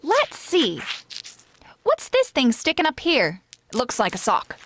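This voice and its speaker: A woman's voice: high-pitched, wildly swooping pitch, slow, fairly bright tone, slight background noise, heavily acted. A woman playing a loyal, wry housemaid in a 1940s-style radio comedy, with a dry, knowing, deferential manner. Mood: puzzled, dry. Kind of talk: 1940s radio sitcom acting.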